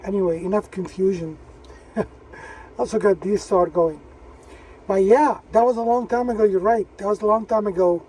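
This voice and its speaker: A man's voice: sits high, steady tone, normal speed, long pauses, darkish tone, slightly rough. A man of sixty-one chatting casually and in a laid-back way as an amateur radio operator, repeating himself for emphasis. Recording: distant mic, vehicle noise.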